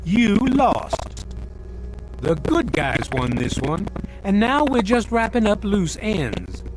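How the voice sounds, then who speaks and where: A man's voice: high-pitched, sing-song, takes it slowly, heavily acted, rough recording. A man playing a stern, impatient military colonel in a video game, giving commanding, threatening orders. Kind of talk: video game cutscene acting. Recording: loud music behind the voice.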